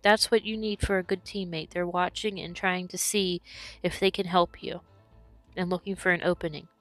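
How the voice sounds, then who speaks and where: A woman's voice: on the low side, little pitch movement, normal speed, a bit bright, no room sound, soft background music. A woman who is sick and losing her voice, explaining her moves as she commentates her own gameplay. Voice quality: hoarse. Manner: explanatory, talks through her decisions.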